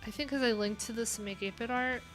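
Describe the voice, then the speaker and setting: A woman's voice: on the high side, fairly even intonation, fast talker, faint music behind. A woman chatting casually on her own livestream, rambling with many fillers and restarts. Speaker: live coding streamer.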